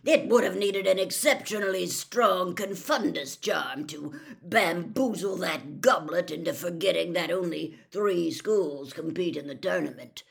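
A woman voicing a gruff, suspicious old man, a wizard with a growling, menacing, sardonic delivery in a storybook reading. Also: fairly low pitch, full character voice.

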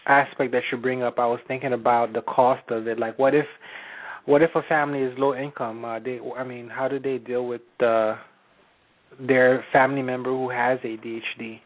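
A man from Haiti asking interview questions in a measured, hesitant way with fillers. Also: mid-range voice, little pitch movement. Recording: tinny phone-like sound.

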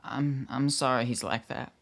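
A man's voice: medium pitch, steady tone, moderate pace, vocal fry, a bit bright. An actor playing a nervous young militia man in a radio drama, hesitant, earnest and deferential. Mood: subdued, earnest.

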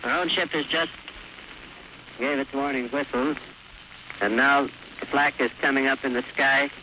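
A man's voice: mid-range voice, steady tone, unhurried, bright, forceful tone, muffled recording, slight background noise. A man working as a war correspondent, giving live eyewitness radio commentary from aboard a ship in a 1940s field recording.

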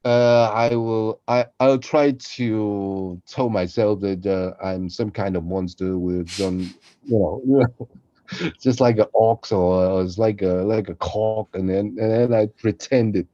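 A man talks casually as a co-host, halting and repeating phrases. His voice is low and mellow. The recording is fairly dry and slightly dull. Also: fairly even intonation, no echo. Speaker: podcast host, voice performer. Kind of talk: casual behind-the-scenes chat.

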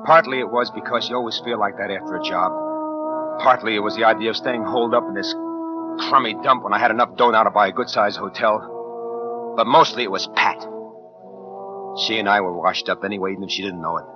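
A man's voice: fairly low pitch, brisk, lightly acted. An actor playing an edgy, slangy American gunman in a 1940s radio crime drama, talking tough and clipped. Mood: jumpy, jaded narration. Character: edgy, hard-boiled gunman narrating and bullying his girlfriend. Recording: muffled 1940s radio recording, faint music.

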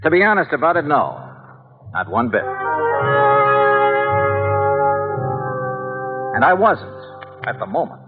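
Tinny old-radio hard-boiled investigator. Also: male voice, on the high side, big pitch swings, a little fast. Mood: skeptical, probing. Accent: American. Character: hard-boiled, curious male insurance investigator narrating his case. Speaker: lead actor and narrator of a radio detective serial. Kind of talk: old-time radio acting with first-person narration. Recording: tinny vintage radio recording, music behind.

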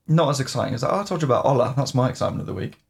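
Gravelly man hosting a podcast. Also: medium pitch, lively intonation. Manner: hesitant, filling pauses with "um". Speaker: podcast co-host. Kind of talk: casual chat about video games.

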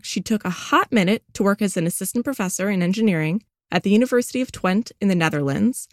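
A woman host talks in a breezy, informal way, moving between scripted narration and casual interview questions. Her pitch sits in the middle of the usual range. The recording is dry and close, with no room around her. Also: no room sound.

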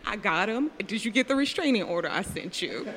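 A woman's voice: medium pitch, lively intonation, a little fast, a bit bright, a little hiss or hum, natural voice. A woman improvising an exasperated, sassy heartbreaker waitress in a comedy parody of a radio play. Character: exasperated, sassy young-love heartbreaker waitress juggling suitors. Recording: reverberant hall sound.

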